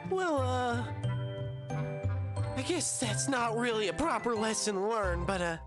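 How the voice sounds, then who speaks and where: Woman acting a folksy, high-voiced hostess. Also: slow. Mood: nervous, folksy. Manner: folksy, exclamatory. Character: folksy, chatty, jittery female show hostess. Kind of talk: audio drama host segment. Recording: loud music under the voice.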